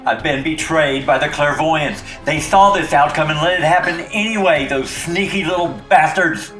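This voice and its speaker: An actor playing a condescending, formal and menacing leader who enforces harsh laws in a dystopian audio drama. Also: male voice, on the high side, normal speed, close to an everyday voice. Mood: bitter, scornful. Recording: echoey space under loud music.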